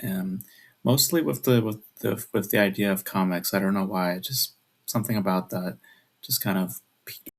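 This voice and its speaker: Young man, low pitch, gravelly fry, hushed delivery, hesitant, rambling, casual vlog chat. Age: 20s. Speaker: video creator. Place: at home at night.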